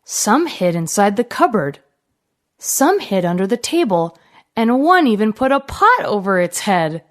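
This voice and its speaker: A woman narrating a fairy tale for children in an expressive, deliberate storytelling manner. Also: mid-range voice.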